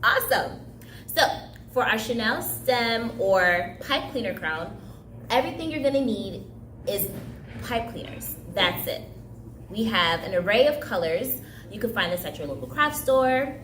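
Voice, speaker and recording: A woman's voice: on the high side, lively intonation, a little slow, fairly bright tone, roomy sound, a little hiss or hum. A young woman whose first language is Haitian Creole, leading a casual, chatty craft tutorial for kids. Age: young adult. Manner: upbeat, chatty, laughs easily. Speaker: craft instructor. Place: indoor room, recreation center.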